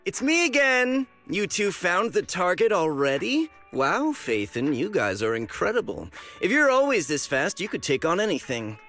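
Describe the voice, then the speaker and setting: An actor playing an excitable, friendly young male information broker in a video game, with an animated, informal delivery. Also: high-pitched, normal speed, hardly any pauses, exaggerated voice. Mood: excited, impressed. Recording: music under the voice.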